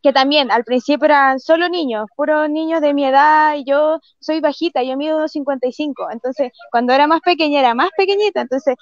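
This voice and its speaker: A Chilean woman with a high voice talks casually as an interview guest. The sound is dry and a little dull, like a video call. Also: fairly quick, hardly any pauses, no room sound. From San Antonio, Chile. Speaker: guest footballer.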